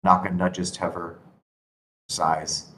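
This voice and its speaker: A man's voice: deep voice, flat delivery, slow pace, sharp tone, low-quality recording. A man reading scripted stage directions as narrator at a screenplay table read. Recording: constant background noise.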